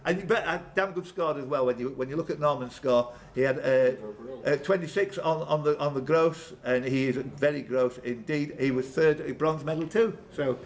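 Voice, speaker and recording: A man hosting a live quiz, reading questions, calling on players by name and bantering excitedly with them. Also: a little high, moderate pace, few pauses. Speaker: quizmaster. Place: pub room with players around. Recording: noisy live pub room recording.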